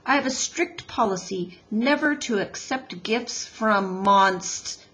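A woman voicing a gruff, stubborn father beaver in a children's storybook, blunt and folksy in manner. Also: medium pitch, animated, slow, a bit bright. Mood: grumpy, stubborn. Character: gruff, stubborn, grudgingly helpful old-fashioned father beaver.

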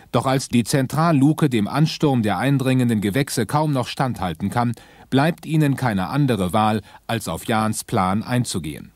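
A man narrating a sci-fi adventure radio play in an earnest, measured storytelling manner. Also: medium pitch, a little mellow, no echo, lightly acted.

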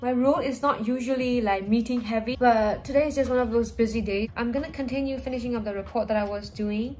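High-voiced young woman vlogging. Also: fast talker, a little mellow. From Burma. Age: 20s. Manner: chatty, informal, addresses viewers as guys. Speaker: lifestyle vlogger. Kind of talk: casual chat to camera. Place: small flat room, indoors. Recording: music under the voice. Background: background music.

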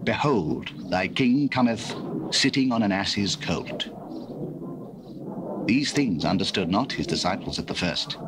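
Lowish, expressive male scripture narrator. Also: a little slow, background noise. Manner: formal, solemn storytelling.

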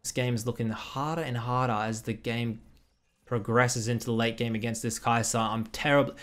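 A young man talks over a game replay in a casual, blunt way, tossing in quick critical asides. He sounds close and direct, as if speaking into a headset from a small room at home. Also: mid-range voice, fairly even intonation, fairly bright tone. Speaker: streamer commentating a replay.

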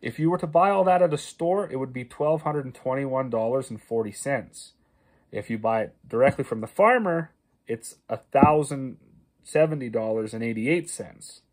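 Casual farmer vlogger, thirties, from Canada; chatty and rambling, with fillers and restarts, filmed on a phone in a small paneled room. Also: male voice, medium pitch, expressive, normal speed. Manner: conversational, many fillers and restarts, stresses prices. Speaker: farmer and video host. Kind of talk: casual talking-head explainer. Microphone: phone camera at arm's length.

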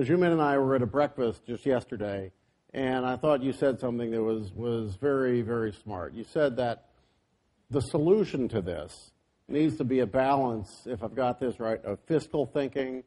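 A man's voice: mid-range voice, warm tone. Conversational man on a business panel. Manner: conversational, with many "you know" fillers. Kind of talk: panel discussion.

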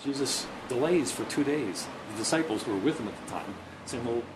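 A man's voice: medium pitch, lively intonation, brisk. A middle-aged man preaching a sermon outdoors, speaking earnestly and often repeating words as he searches for them. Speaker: pastor. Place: outdoors, under a bridge then at an open lookout. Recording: noisy outdoor recording.

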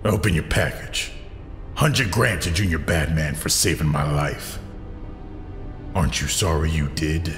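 An actor playing a scarred, tormented crime boss in an audio drama, his voice strained and gravelly, his manner menacing and bitter. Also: extremely low pitch, measured pace, long pauses. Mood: sneering. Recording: echoing hall under music.

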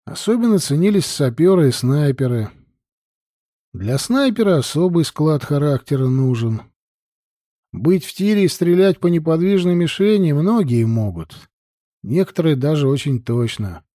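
Bright-free mellow male audiobook narrator, dry sound. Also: a little high, sing-song, normal speed, no echo. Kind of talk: scripted narration of a military novel.

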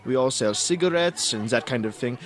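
An actor playing an evasive, courteous Viennese baron in a radio drama, with an Austrian German accent and a hesitant, ingratiating manner. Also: male voice, medium pitch, animated, fairly quick, fairly bright tone, faint music behind, close to an everyday voice. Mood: evasively polite.

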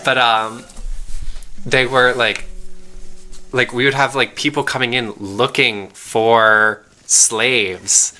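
A man's voice: mid-range voice, steady tone, slow pace, sharp tone. A young man from Pennsylvania telling a rambling story with lots of fillers while co-hosting a game playthrough. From Pennsylvania, USA. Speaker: let's play co-host. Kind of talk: casual chat over gameplay.

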